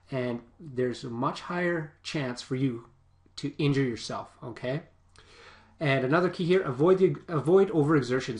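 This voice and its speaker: A man who works as a personal trainer gives casual fitness advice with a stuffy, sniffly voice, often checking in with a quick "okay?". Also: medium pitch. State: stuffy, sniffly from a nasal infection.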